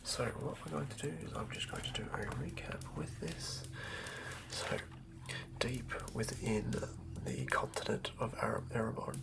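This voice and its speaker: Whispering man with heavy creak. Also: mid-range voice, big pitch swings, moderate pace. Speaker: ASMR host and tabletop game master. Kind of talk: whispered ASMR, improvised narration. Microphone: close mic.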